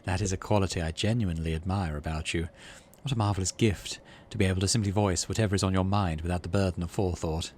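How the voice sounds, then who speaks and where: A low-voiced alien man from a sci-fi game, acted out by a man, speaks with courteous formality and gentle amusement. His phrasing is measured and secretive, over clear background noise. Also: fairly even intonation, lightly acted. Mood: delighted, admiring. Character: polite, mirthful male alien, formal and secretive.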